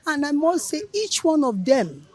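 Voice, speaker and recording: An older Nigerian woman with a Nigerian English accent, speaking in a slow, earnest way. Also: high voice, sing-song, a little mellow. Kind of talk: reflective speech.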